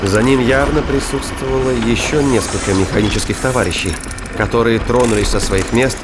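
A man reading scripted narration of a science fiction thriller as a dramatic, deliberate storyteller. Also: medium pitch, heavy background noise. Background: music and battle sound effects.

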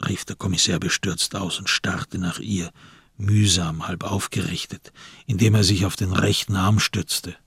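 An actor as the grave narrator of a crime radio play, reading scripted prose in a gravelly, husky voice. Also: male voice, low voice, steady tone, a little slow, a bit bright, dry recording, studio quality, full character voice.

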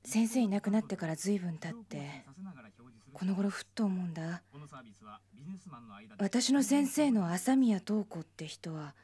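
A dreamy young woman played by an actress in a radio drama, wistful and unsure of herself. Her medium-pitched voice swings expressively up and down. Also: lively intonation, measured pace, pauses often, dark tone, close to an everyday voice.

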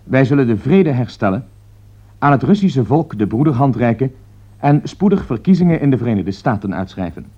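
Radio newsreader, formal, serious, measured, mid-pitched voice, dull lo-fi recording. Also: male voice, very animated, mellow. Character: formal male radio newsreader announcing urgent crisis bulletins. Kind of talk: scripted radio drama news bulletin.

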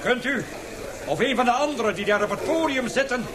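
Schelle, verontwaardigde oude professor. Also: male voice, very high voice, lively intonation, fairly quick, noisy background. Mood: angry, alarmed. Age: older. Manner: agitated, declamatory. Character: indignant, stubborn old professor, alarmed dissenter. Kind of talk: radio play acting.

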